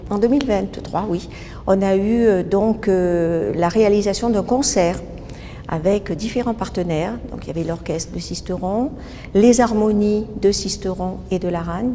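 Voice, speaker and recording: A woman giving explanations in an interview, halting and restarting her phrases as she goes. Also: mellow. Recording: reverberant hall, faint rumbling noise.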